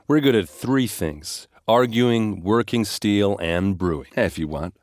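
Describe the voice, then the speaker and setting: Gruff warrior, video game character, hard-drinking, friendly, boastful, wry, dry studio sound. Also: male voice, on the low side, lively intonation, unhurried, no room sound. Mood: conversational, proud. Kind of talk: game dialogue and combat barks.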